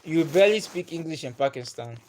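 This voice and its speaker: A man's voice: on the high side, expressive, a little fast, darkish tone, a little hiss or hum. Man chatting on a livestream. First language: Igbo. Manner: patient, repeats himself, chuckles often. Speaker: livestream host. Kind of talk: casual livestream chat reading comments.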